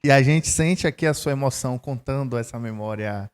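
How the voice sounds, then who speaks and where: A man's voice: medium pitch, brisk, smooth. Man hosting a friendly interview. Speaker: interview host.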